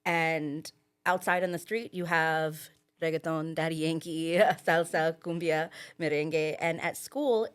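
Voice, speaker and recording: A young woman on a school podcast, upbeat and warm as she talks about her school days. Her voice sits a little low in pitch. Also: fairly even intonation, unhurried, clean tone. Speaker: guest, former student. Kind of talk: casual podcast chat.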